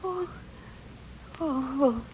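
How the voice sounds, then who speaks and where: An actress playing a fragile, frightened young woman in a 1940s radio melodrama, pleading in halting, breathless phrases. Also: high-pitched, noisy background. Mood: shaken, halting. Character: fragile, frightened young female patient with secrets. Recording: dull old radio recording.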